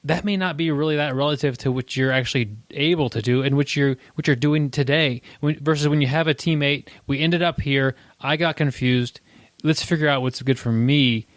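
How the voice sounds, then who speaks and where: A man hosting a podcast interview, talking in a thoughtful, halting way with many restarts. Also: on the high side, dry recording. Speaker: podcast host. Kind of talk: casual interview chat.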